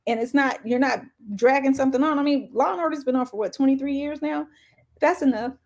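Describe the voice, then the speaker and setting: A woman's voice: high voice, fairly quick, darkish tone. An American woman co-hosting a casual podcast, talking in an opinionated, outspoken way. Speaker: podcast co-host.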